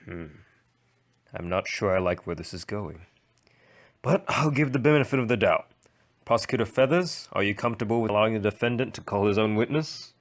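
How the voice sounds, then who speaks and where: A man's voice: on the low side, expressive, brisk. A man playing a stern but fair courtroom judge in an amateur audio drama, formal and authoritative. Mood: wary. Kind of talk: fan audio drama acting.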